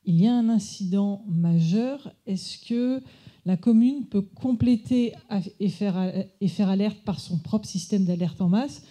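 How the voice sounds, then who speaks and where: Very soft, dark-toned woman asking questions. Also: mid-range voice, unhurried. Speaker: municipal risk technician, audience questioner. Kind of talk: unscripted question at a conference.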